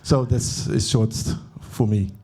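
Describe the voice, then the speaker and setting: A man answering panel questions on a conference stage in brief, halting phrases with short laughs. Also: medium pitch, fairly even intonation, warm tone. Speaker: consultant, panel guest. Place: conference stage before an audience.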